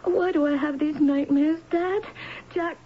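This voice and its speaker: An actress playing an anxious young wife haunted by fears, hesitant and pleading, in 1970s radio drama style. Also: female voice, high-pitched, fairly even intonation, measured pace. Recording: dull, noisy 1970s radio recording.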